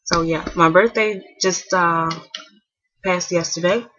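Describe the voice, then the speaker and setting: A woman in her twenties chatting casually to camera at home. She rambles with lots of fillers and restarts, and keeps her voice soft so she won't wake a napping child. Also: fairly low pitch, fairly even intonation, slow, muffled recording, a little hiss or hum. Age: 20s. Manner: speaking softly to keep a napping child asleep. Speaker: hair vlogger.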